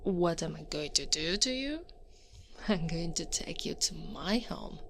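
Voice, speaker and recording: Woman acting as horned Krampus monster woman, teasing and in control, soft breathy half-whisper, unhurried pace, ASMR roleplay, native Italian speaker, faint background noise. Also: lowish voice, animated. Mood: teasing, sly. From Italy.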